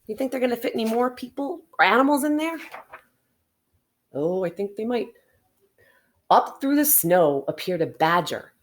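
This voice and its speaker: A woman reading a picture book aloud to children in an expressive way, stretching words for emphasis and adding chatty asides and questions to the listeners. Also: fairly low pitch.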